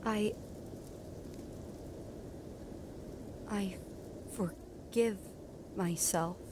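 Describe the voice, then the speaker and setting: A woman's voice: medium pitch, noisy background. A woman playing an earnest, determined young heroine burdened with guilt in a family audio drama. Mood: remorseful, tearful. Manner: earnest, halting. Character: determined, guilt-ridden young heroine climbing a magical mountain.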